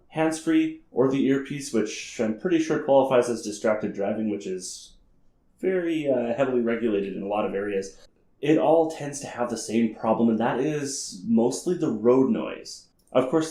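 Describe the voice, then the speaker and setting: The sound is noticeably roomy and echoing. A man with a fairly low voice speaks as a video host. He explains things informally, in a wordy and meandering way. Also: mellow. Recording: roomy echo.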